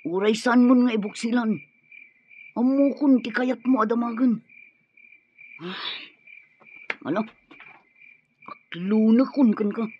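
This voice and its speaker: An actor with a Filipino accent playing a surly, cagey, cornered gang henchman with a husky voice in a radio drama. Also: mid-range voice, slow, long pauses, muffled recording, a little hiss or hum, natural voice. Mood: grudging confession.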